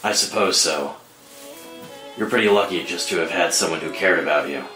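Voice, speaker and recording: A man playing a brooding, guarded vigilante in a screenplay table read, speaking in an understated, measured way. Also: sits low, pushed and bright. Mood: guarded, wry. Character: Bruce, brooding guarded adult male vigilante softening in love. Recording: roomy echo under dramatic score music.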